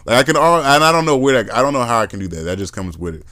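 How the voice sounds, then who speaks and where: A man's voice: mid-range voice, racing, dry recording, studio quality. A man hosting a podcast, chatting casually and acting out accents as he rambles through his ideas. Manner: lively, acts out accents, rambling. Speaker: podcast host. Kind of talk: casual chat.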